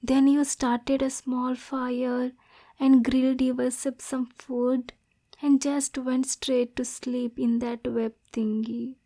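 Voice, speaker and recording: A woman playing a lonely, lovesick demon girl in an intimate ASMR roleplay, softly spoken and breathy, nervous yet possessive. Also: high-pitched, level tone, takes it slowly, exaggerated voice. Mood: tender, infatuated.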